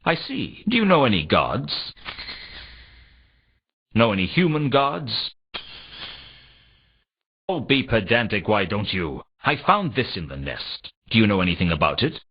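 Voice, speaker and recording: An actor voicing a world-weary hardboiled detective in a fantasy video game, with a sardonic, deadpan delivery in both dialogue and narration. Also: male voice, fairly low pitch, measured pace, long pauses. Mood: sardonic, probing. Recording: dull lo-fi game audio, faint noise.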